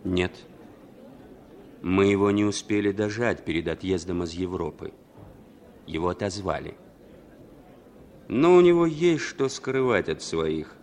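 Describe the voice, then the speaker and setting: Male actor as guarded foreign spy, lowish pitch, terse, polite, 1960s radio play. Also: a little mellow, close to an everyday voice. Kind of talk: 1960s radio drama acting.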